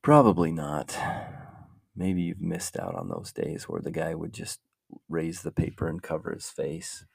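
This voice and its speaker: A man with a very deep, gravelly voice hosts a casual talk, rambling slowly and thinking aloud as he goes. The sound is dry and close. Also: very animated, dry recording. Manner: meandering, muses aloud while browsing. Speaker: podcast host.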